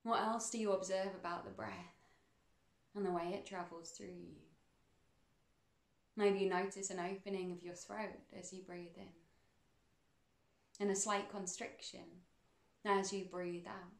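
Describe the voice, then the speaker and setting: A woman leads a guided meditation in a soothing, unhurried way. Her mid-range voice has a noticeable fry to it. She speaks in measured phrases with pauses, and the room adds clear echo around her words. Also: medium pitch, steady tone.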